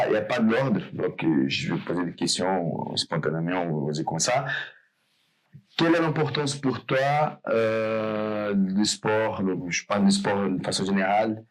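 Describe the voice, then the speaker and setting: Man, podcast host, low pitch, casual and rambling, self-correcting. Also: a little slow, rough recording. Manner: rambling, loose, apologetic. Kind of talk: podcast interview.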